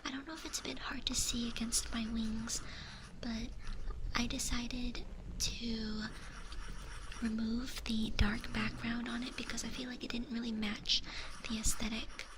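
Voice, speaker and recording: Soft whispering young woman, close mic. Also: fairly high pitch, little pitch movement, a little slow, noisy background. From United States. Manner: gentle, with small laughs and restarts, greeting chat viewers. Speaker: ASMR streamer. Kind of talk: whispered ASMR live stream chat. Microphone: close sensitive ASMR microphone.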